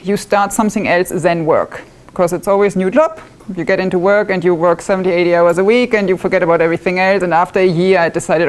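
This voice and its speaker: A woman with a German accent presents at a club meeting in an easy, chatty way. She pokes fun at herself and tosses in quick asides, at a middling pitch. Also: a little mellow. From northwest Germany. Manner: self-deprecating, chatty with asides. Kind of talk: live demonstration talk. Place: club meeting room with live audience.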